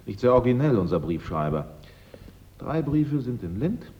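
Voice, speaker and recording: An actor in a German radio drama playing an investigator who is dry, businesslike, polite and a little sceptical. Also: male voice, medium pitch, very animated, normal speed, slightly rough, dull audio. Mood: dry, probing.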